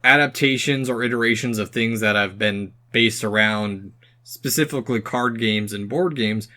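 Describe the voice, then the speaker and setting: Bright-voiced man chatting on a podcast. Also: on the low side, normal speed. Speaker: podcast host. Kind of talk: casual podcast discussion.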